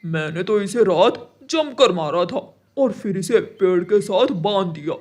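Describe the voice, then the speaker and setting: A woman voicing a stern, bossy male crow guard in an animated children's story. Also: mid-range voice, a little slow.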